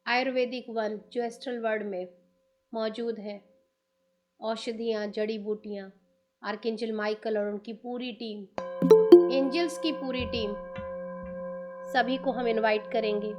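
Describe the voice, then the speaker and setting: Woman, Indian accent, guided meditation, unhurried short phrases, raised pitch, singing bowl music in background. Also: fairly even intonation. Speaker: meditation guide, channel host.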